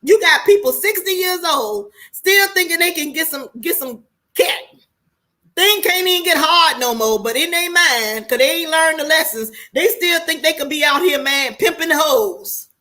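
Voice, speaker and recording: High, bright-voiced American woman in her forties. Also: expressive, moderate pace. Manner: candid, colloquial, preachy, swears freely. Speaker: online show host talking to viewers. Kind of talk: casual livestream monologue.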